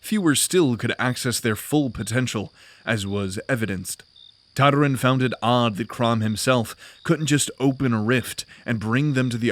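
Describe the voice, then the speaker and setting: Grave male fantasy narrator, medium pitch. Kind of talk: dramatic scripted fantasy narration.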